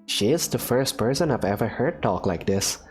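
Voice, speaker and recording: A man's voice: medium pitch, lively intonation, moderate pace, soft background music. Hesitant, thoughtful male narrator. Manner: hesitant, thoughtful, soft-spoken. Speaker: narrator and player character. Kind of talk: fan visual novel narration.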